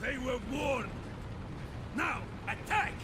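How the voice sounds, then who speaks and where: Very high, fanatical shouting Soviet officer. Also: male voice, animated, slow, full character voice. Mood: furious. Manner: barking, commanding. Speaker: actor voicing a game character. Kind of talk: shouted game cutscene acting. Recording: battle noise and vehicles behind.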